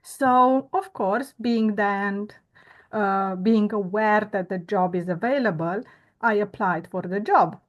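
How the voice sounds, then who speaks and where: A woman, a guest telling her story earnestly and reflectively, pausing often on "uh" and "um". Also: mid-range voice. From Romania. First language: Romanian. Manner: earnest, reflective, with frequent "uh" and "um" pauses. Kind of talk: conversational interview storytelling.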